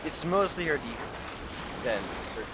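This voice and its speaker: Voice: medium pitch, sing-song, slow pace, very noisy. A game streamer giving casual, offhand commentary, often muttering to themself while playing. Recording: clear game music behind. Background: video game music.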